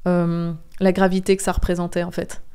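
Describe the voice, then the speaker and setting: A woman speaking candidly and earnestly as an interview guest, insistent and pausing as she explains. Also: lowish voice, monotone, dark tone, clear, resonant tone. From Belgium. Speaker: interview guest, comic book author. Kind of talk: candid podcast interview testimony.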